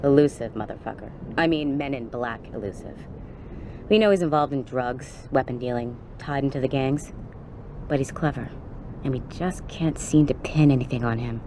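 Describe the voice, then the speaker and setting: A woman's voice: very deep voice, normal speed, noisy background. An actress playing a jaded, streetwise undercover policewoman with a blunt, conversational manner in a video game cutscene. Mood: blunt, conspiratorial. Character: jaded, foul-mouthed undercover policewoman, old colleague.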